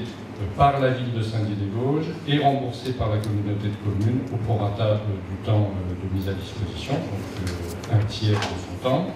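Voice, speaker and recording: Distant echoey man, halting council presenter. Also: lowish voice. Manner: halting, procedural. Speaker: deputy mayor presenting a council motion. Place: large indoor council meeting room. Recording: echoey hall from far off, loud noise.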